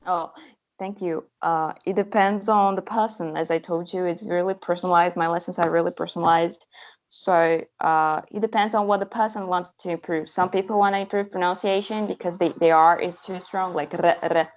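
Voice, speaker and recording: A woman's voice: lowish voice, steady tone, moderate pace. Enthusiastic woman, Portuguese native, lo-fi call. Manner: enthusiastic, talkative, with restarts. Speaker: language teacher guest. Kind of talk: casual interview answers.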